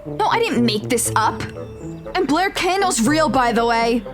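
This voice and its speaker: A teenage girl with a highish voice in an audio drama. She is cocky and impatient, and music plays underneath. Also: sing-song, a little slow. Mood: impatient, boastful.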